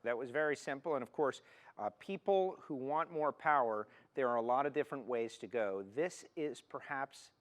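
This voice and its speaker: A man's voice: mid-range voice, normal speed. A man hosting an automotive TV show, presenting and interviewing in an upbeat, enthusiastic way. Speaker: TV show host.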